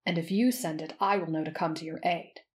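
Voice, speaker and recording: A woman voicing an earnest, polite, easily flustered young man with a measured, formal manner in a narrated story. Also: fairly low pitch, close to an everyday voice.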